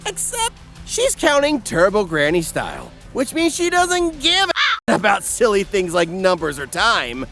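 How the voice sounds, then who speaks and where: Guy doing a crude sardonic recap narration, really high voice, swoopy and theatrical, music under it. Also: sharp tone. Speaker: narrator and host. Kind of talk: scripted comedic recap narration. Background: background music.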